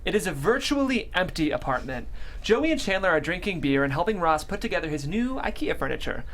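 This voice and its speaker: A man's voice: high voice, very animated, a little fast, a bit bright, slight background noise. A man reading out scripted stage directions as narrator at a table read.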